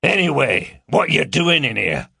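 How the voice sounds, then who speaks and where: Audiobook role: burly male intruder played by a man, crude, leering, swaggering, animated intonation. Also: on the high side, a little slow, gravelly, slightly rough, dry recording, voice pushed up, exaggerated voice. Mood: mocking. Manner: mocking, lewd, blunt. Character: huge, bearded, jeering, crude male intruder.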